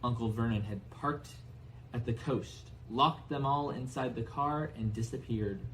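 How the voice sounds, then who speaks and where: A man reading a storybook aloud as narrator in an expressive style. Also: mid-range voice, unhurried, slight background noise, poor audio. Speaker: audiobook narrator.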